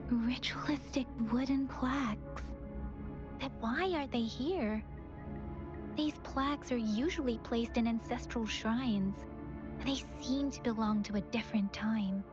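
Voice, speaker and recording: An actress playing an earnest, thoughtful young woman investigating mysteries in a video game, speaking in a measured, serious way. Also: fairly high pitch, natural voice. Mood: pensive, explaining. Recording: muffled game capture under loud music.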